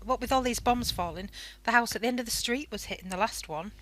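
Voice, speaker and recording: An actress playing a worried, sharp-tongued wartime wife and mother in an amateur radio drama, anxious and guarded. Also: fairly high pitch, quick pace, dry recording, natural voice.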